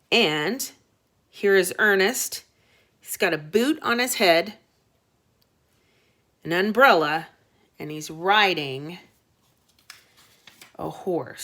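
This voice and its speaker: A woman teacher reading a storybook aloud to children, expressive and dramatic, with short explanatory asides. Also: on the low side.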